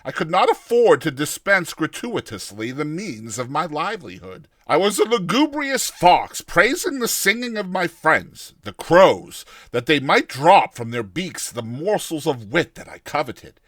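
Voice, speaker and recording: Guy doing a dramatic audiobook read, higher-ish voice, really animated pitch swings, dry close recording. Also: unhurried, hardly any pauses, dry recording. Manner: theatrical, expressive delivery. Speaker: reader of a classic short story. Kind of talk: dramatized first-person audiobook narration.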